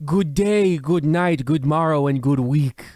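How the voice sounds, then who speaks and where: Man as a slow, rambling radio-mystery narrator. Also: a little high, noisy background, lightly acted. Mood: testy, whimsical. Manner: rambling, theatrical. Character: sleepy, pompous male horror-anthology narrator, testy and rambling. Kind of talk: parody of old radio mystery host.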